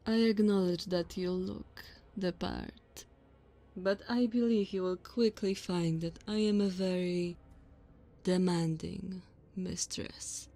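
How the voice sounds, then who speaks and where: Woman doing a tall vampire noblewoman for asmr roleplay, really slow and drawn out, haughty, bossy, mocking, talks all formal. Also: lowish voice, fairly even intonation, vocal fry, mellow. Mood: stern, formal. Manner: slow, condescending, theatrical formal diction.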